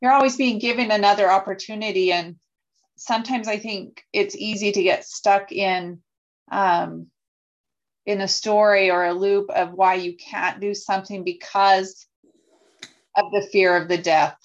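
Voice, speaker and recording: A woman's voice: mid-range voice, fairly even intonation, a little slow, dull audio. A woman guest talking earnestly on a podcast, with some hesitant restarts. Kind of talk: conversational podcast chat.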